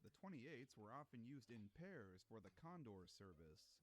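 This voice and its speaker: A man's voice: mid-range voice, sing-song, normal speed. A man reading scripted documentary-style narration in an enthusiastic, emphatic explainer manner.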